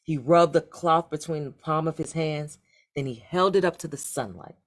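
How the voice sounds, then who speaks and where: A woman reading a children's story aloud in an expressive, deliberate storytelling manner. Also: low-pitched. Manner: animated, deliberate read-aloud delivery. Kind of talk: expressive scripted storybook narration.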